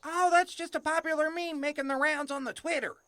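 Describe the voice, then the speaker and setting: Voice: sits high, fairly even intonation, brisk, a bit bright, no room sound. A performer voicing a precocious young farm boy in a comic western audio drama, mixing folksy talk with grandiose speech. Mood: earnest, philosophical.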